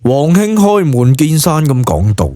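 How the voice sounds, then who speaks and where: A man's voice: on the high side, very animated, a little slow, warm tone, no room sound, studio quality. A man with a Hong Kong Cantonese accent narrating a serialised novel in a dramatised audiobook style.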